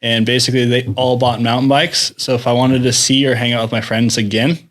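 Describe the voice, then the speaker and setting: A man's voice: medium pitch, level tone, a little fast, a bit bright. A young American man from Utah, chatting easily as a podcast guest and chuckling often. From Salt Lake City, Utah, USA. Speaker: guest, bike mechanic. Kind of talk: casual podcast interview.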